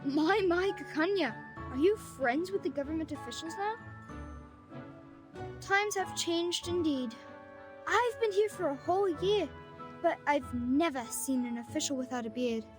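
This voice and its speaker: High cheeky young street magician girl from a game, talky and theatrical, music under it. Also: unhurried. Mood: playful, boastful. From Bosnia and Herzegovina. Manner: theatrical, talkative, teasing. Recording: music behind.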